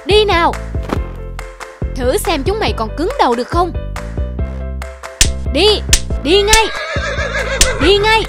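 Woman doing bossy rich male landowner, high-pitched, stingy, grumbling, cartoon folk tale, loud music behind. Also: expressive, slow, long pauses, exaggerated voice. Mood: harsh commanding. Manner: grumbling, commanding.

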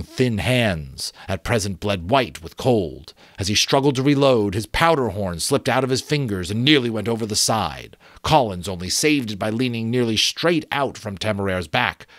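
A middle-aged man reading a novel aloud as expressive, deliberate dramatic narration, close to a desk microphone. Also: lowish voice, dry recording. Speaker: amateur audiobook reader. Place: small indoor room with bookshelves.

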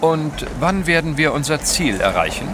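An actor playing a cheerful, genteel gentleman adventurer in an audio drama, polished and jovial. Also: fairly high pitch, very animated, normal speed, music in the background. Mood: upbeat. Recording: clear outdoor ship ambience behind.

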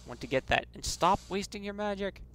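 A man's voice: sits high, lively intonation, a little fast, heavy background noise. A man casually commenting on his role-playing game play, thinking aloud and poking fun at himself. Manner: chatty, self-deprecating, thinking aloud. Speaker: let's play gamer. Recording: loud game music behind. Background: loud game music.

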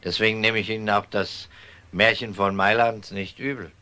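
A man playing a calm, unhurried police detective in a German crime radio drama, dry and politely ironic. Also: on the low side. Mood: dryly firm.

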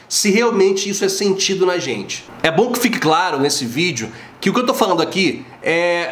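A man in his forties from Brazil with a Rio de Janeiro accent, vlogging casually to camera at home, slangy and animated. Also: high-pitched, slight background noise. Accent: Rio de Janeiro Portuguese. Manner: slangy, animated storytelling, acts out quoted voices. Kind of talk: casual opinion vlog. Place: home living room, indoors. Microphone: camera at close range. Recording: roomy echo in a home room.

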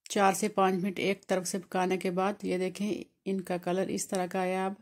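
A woman from Pakistan hosting a home cooking channel, calmly walking through each step of a recipe in a voice-over. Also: medium pitch, moderate pace. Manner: calm, explanatory, walks through each step.

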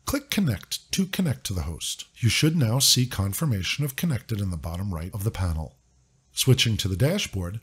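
A man narrating a scripted software walkthrough in a clear, upbeat, step-by-step instructive way. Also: lowish voice. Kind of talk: scripted screen-recording walkthrough.

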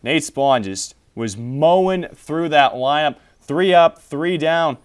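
Young guy hosting campus sports tv, voice a bit on the high side, reads off stats and scores. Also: expressive, takes it slowly, clear, resonant tone. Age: young adult. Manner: reads scores and stats, then hands off questions. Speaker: sports show host. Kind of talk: college sports studio talk. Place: small TV studio.

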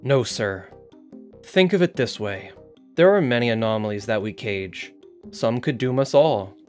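A man's voice: mid-range voice, lively intonation, measured pace, soft background music, natural voice. Man playing a defiant, formal research director. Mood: insistent. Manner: formal, insistent, sardonic. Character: defiant male research site director, arguing his case. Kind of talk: scripted audio drama interview.

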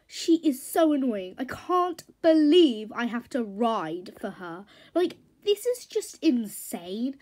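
Woman voicing a haughty, high-pitched, indignant mare. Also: dark tone, breathy edge, full character voice. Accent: put-on accent unlike the other characters'. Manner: snobbish, indignant, drawn-out emphatic complaining. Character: haughty, easily offended mare, spoiled and dramatic newcomer.

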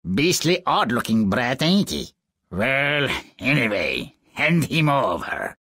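An actor voicing a cruel, bargaining imp in a video game, raspy and theatrical. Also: medium pitch, big pitch swings, slow pace, a bit bright, dry recording, clean studio sound, full character voice. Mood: sneering.

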